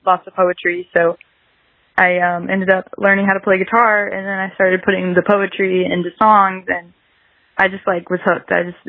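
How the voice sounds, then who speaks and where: A woman's voice: on the low side, dry recording, phone-quality sound. A woman in her early twenties from South Carolina with an American accent, talking casually as an interview guest, hedging and saying "like" very often. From South Carolina, United States. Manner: hedging, very frequent "like" filler. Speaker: podcast guest, musician.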